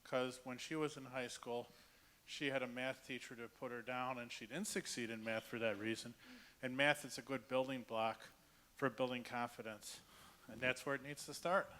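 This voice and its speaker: A man's voice: mid-range voice, fairly even intonation, normal speed, bright, forceful tone, rough recording. A man in a conference audience making a friendly, conversational comment. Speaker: audience member.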